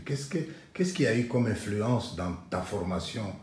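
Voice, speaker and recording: A man with a low, mellow voice asks his guest calm interview questions. The room is echoey and gives his voice a roomy sound. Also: a little slow, a little hiss or hum. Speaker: interviewer, channel host.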